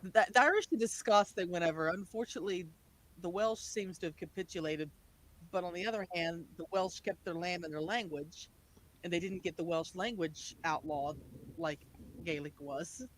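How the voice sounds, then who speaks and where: A man with a noticeably high voice and a West Virginia accent, talking casually with friends on a video call. He rambles and restarts his sentences. His speech sounds natural and unscripted. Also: normal speed, fairly bright tone, slight background noise, low-quality recording. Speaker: panelist. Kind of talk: casual group chat.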